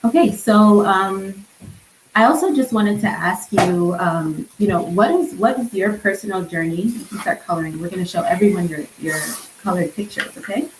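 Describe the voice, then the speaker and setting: A woman's voice: medium pitch, normal speed. Woman hosting casually, echoey room. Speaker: event host and interviewer. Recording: roomy echo, faint background noise.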